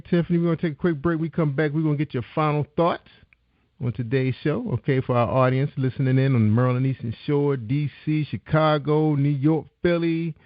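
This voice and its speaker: A man's voice: a little high, no room sound. A man hosting a radio talk show, chatting casually and encouragingly with a guest, full of "you know". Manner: laid-back, encouraging, lots of "you know". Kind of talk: casual interview chat. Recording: dull lo-fi sound, dry.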